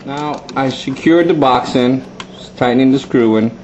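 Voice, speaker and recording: A man's voice: mid-range voice, normal speed, darkish tone. A man methodically instructing viewers step by step in a hands-on DIY wiring demonstration. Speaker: plumbing instructor. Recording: dull lo-fi sound with faint noise.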